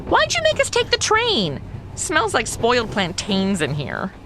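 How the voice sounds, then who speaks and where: A woman's voice: on the high side, wildly swooping pitch, unhurried, noisy background, full character voice. An actress playing a sassy, flippant modern woman in a comedy audio drama, sarcastic, chatty and full of complaints. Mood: complaining. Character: sassy, flippant modern woman stuck in 1950s noir Cuba.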